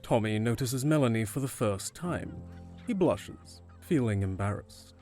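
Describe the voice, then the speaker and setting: A man's voice: mid-range voice, expressive, a little slow, a little mellow, faint music behind, natural voice. A man reading scripted narration for an audio drama.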